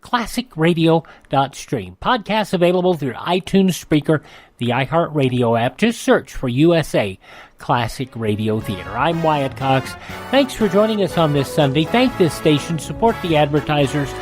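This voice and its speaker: A man's voice: a little high, lively intonation, moderate pace, faint music behind. An American man hosting a radio show, giving a conversational sign-off. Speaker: radio host.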